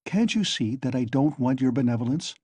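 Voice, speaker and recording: A man's voice: mid-range voice, animated, fairly quick, warm tone, slightly rough, no echo. A man voicing Raskolnikov, a feverish bitter young man. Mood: exasperated. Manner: taunting, venomous, overwrought.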